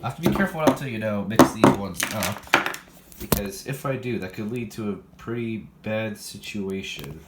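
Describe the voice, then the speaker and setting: A man with a deep voice talks through a casual, unscripted demonstration. He rambles and thinks aloud as he handles his gear. The room is echoey, and loud noise runs behind his voice. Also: moderate pace. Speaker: hobbyist showing a weather radio collection. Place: indoor room, recorded while handling radios.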